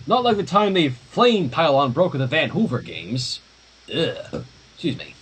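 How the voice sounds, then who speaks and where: A man's voice: on the high side, sing-song, a little slow, a bit bright, breathy edge. A man reading a story aloud informally on a livestream, dropping in casual asides. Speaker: livestream fan fiction reader.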